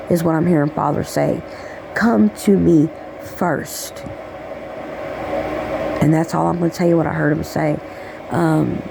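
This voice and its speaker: A woman with a raspy voice giving a rambling, affectionate spiritual message, checking in with "okay?" as she talks. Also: sits low, noisy background. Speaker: spiritual channeler. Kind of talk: casual spiritual message monologue.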